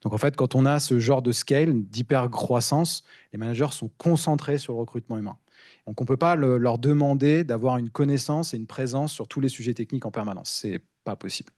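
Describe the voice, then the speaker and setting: A man's voice: mid-range voice, fairly quick, a little mellow, no room sound, clean studio sound. A man from giving an informal, explanatory tech conference talk, often repeating words as he searches for phrasing. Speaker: principal engineer speaking on a panel.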